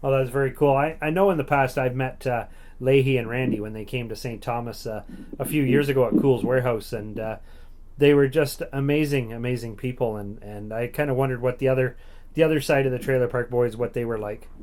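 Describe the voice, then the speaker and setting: A man's voice: mid-range voice, steady tone, brisk, a little mellow. A man hosting a casual, conversational interview as the interviewer.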